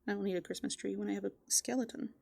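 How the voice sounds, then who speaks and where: Soft-spoken, breathy woman doing ASMR. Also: mid-range voice, fairly even intonation, very fast. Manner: soft-spoken, often drops into whispers; musing, playful. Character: playful raccoon in an everyday voice. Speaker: ASMR host. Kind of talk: furry roleplay ASMR, improvised rambling.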